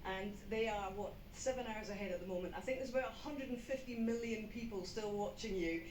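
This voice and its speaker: A woman's voice: mid-range voice, a little fast. A woman interviewing players on stage in a large indoor arena, polished and upbeat as she addresses the crowd. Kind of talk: live post-match presenting. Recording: echoey arena with loud noise.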